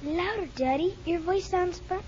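Earnest little girl, very high voice, childlike, pleading, radio play, muffled recording, light noise. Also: normal speed, mellow. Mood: trusting, hopeful. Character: earnest, trusting little girl who adores her daddy. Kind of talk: 1950s American radio drama acting.

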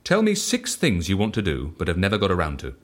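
A man playing an eccentric, self-assured devotee of chance in an audio drama, asking clipped, probing questions and speaking with calm conviction. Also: low voice, fast talker, exaggerated voice. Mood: coaxing.

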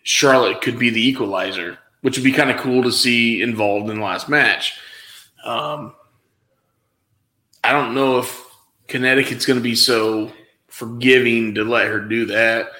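Rambling male podcast co-host, mid pitch, recorded in a roomy echoey space. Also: fairly even intonation, unhurried, bright, forceful tone. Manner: rambling, lots of fillers and restarts. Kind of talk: casual wrestling podcast chat.

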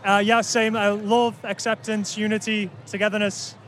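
A man's voice: sits high, flat delivery, normal speed, a bit bright, slight background noise. A man speaking hesitantly, with many fillers, outdoors at a festival. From Liverpool. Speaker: podcast guest.

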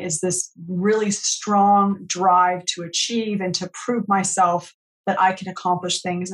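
A woman's voice: medium pitch, rough recording. A middle-aged woman from California, a podcast guest speaking in an earnest, reflective way about her own life. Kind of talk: podcast interview, reflective storytelling.

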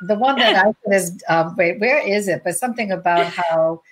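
A woman's voice: fairly low pitch, brisk, rough recording. A woman co-host chatting casually and informally on a panel. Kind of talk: casual chat.

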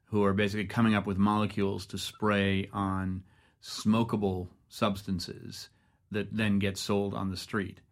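A man hosting a radio news interview, moving between measured narration and questions to a guest. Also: on the low side, flat delivery.

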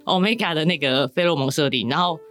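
A woman's voice: low voice, quick pace, fairly bright tone, faint music behind. A woman with a Taiwanese Mandarin accent chatting casually and candidly as a guest novelist, rambling as she explains her writing.

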